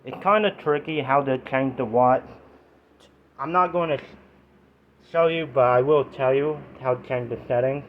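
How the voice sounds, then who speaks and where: A man in his twenties with a nasal voice, chatting casually and haltingly as he gives an informal review in a vlog. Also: mid-range voice. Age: 20s. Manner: halting, rambling delivery in short fragments. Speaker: YouTube vlogger reviewing products. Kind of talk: casual chat to camera, informal product review. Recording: tinny phone-like audio with background noise.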